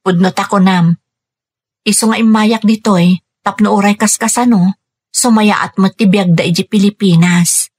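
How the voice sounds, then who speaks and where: A woman's voice: medium pitch, steady tone, normal speed, darkish tone, slightly rough, natural voice. A woman playing a young, dutiful, burdened Filipina daughter working overseas in an Ilocano radio drama, earnest and plaintive, with an Ilocano accent. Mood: wistful, earnest. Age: young adult. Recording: music bed under the voice. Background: music.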